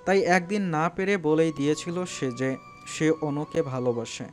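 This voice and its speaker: Higher-pitched man narrating a love story. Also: unhurried, soft background music. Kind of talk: scripted dramatic story narration.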